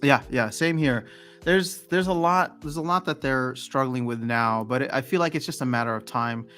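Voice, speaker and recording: A man's voice: medium pitch, normal speed. A man hosts a livestream in a loose, rambling way and often restarts his sentences. He talks over faint background music. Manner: relaxed, rambling, frequent restarts. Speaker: livestream host. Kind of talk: casual chat.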